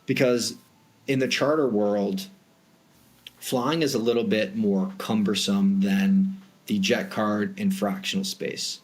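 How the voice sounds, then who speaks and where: A man's voice: fairly low pitch, fairly even intonation, slow. A man with a Boston accent explaining his industry conversationally and emphatically, as an expert guest in an interview. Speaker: private jet charter broker, interview guest.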